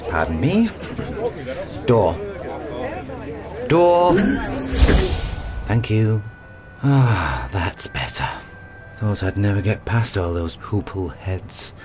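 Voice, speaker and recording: A man's voice: on the low side, sing-song, extremely slow, pauses often, over music, crowd noise, full character voice. An actor playing a haughty, condescending company chairman in an audio drama, with clipped, pompous delivery. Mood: irritated, imperious. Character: haughty, rude, rich robotics company chairman.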